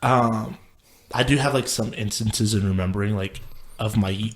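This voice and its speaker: A man's voice: on the low side, fairly even intonation, moderate pace, a little hiss or hum. A man in his late twenties from Texas, telling his life story as a podcast guest in a loose, rambling way, with lots of fillers and casual swearing. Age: late 20s. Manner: loose and profane, lots of "like" and restarts.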